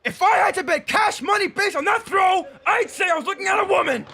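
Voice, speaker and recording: A man voicing a mocking, bullying high school coach who yells across the gym. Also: fairly quick, gravelly, full character voice. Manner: yelling and mocking. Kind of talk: fan dub voice acting.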